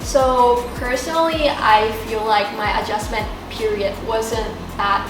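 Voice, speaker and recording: Female high schooler, native Thai speaker, higher voice, discussion style, roomy echo with music behind. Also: fairly even intonation, unhurried. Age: teenager, high school student. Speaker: student panelist. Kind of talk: semi-prepared discussion of school life. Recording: echoey room, distant mic, music behind.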